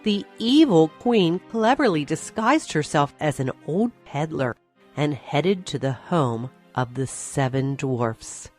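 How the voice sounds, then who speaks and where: A woman narrating a scripted fairy tale for children, expressive and carefully phrased. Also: deep voice, slow pace, faint music behind. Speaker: storyteller.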